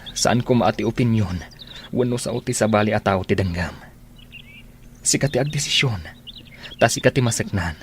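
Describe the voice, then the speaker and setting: Low-voiced earnest man in love actor. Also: normal speed, long pauses, a little hiss or hum, natural voice. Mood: persistent. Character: earnest, lovelorn man Ferdinand, gentle and persistent. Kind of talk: radio drama acting.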